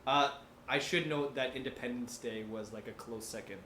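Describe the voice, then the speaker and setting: A man's voice: mid-range voice, moderate pace, bright, forceful tone. A man hosting a casual film podcast, chatting in a jokey, playful way. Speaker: podcast host. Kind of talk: casual chat about films. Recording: roomy echo, faint background noise.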